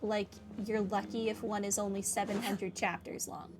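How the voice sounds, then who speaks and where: A woman's voice: medium pitch, level tone, moderate pace, over music. A woman hosting a gaming video, commenting casually as she plays.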